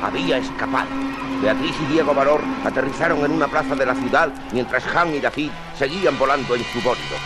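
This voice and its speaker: A man's voice: medium pitch, brisk. A man narrating a 1950s radio serial from a script, solemn and dramatic. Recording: vintage radio drama with music.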